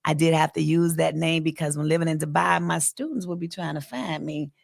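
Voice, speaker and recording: An American woman chats informally as a guest on a talk show. Her voice sits a little low with a strong creaky, gravelly edge. The recording is close and dry. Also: a little fast, no room sound. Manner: self-described loud talker, informal. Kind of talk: casual chat.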